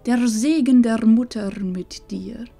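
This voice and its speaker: A woman playing a loving, wise old grandmother in a fantasy audio drama, speaking solemnly and tenderly with breathy phrase endings. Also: on the high side, slow, warm tone, faint music behind, heavily acted. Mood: wistful, blessing.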